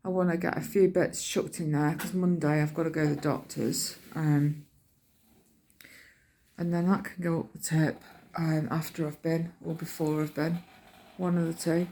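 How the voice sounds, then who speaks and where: A woman's voice: deep voice, flat delivery, moderate pace, dark tone, slight background noise. A middle-aged British woman chatting casually in a rambling vlog, telling everyday stories in colloquial language and acting out what people said. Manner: chatty, colloquial storytelling with acted-out quotes. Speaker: vlogger.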